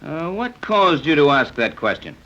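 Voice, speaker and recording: A brisk commanding captain, bright and quick, old lo-fi radio. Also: male voice, on the high side, big pitch swings, full character voice. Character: Captain Tex Bradford, blunt suspicious adventurer. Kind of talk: 1930s radio acting. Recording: muffled 1930s radio recording.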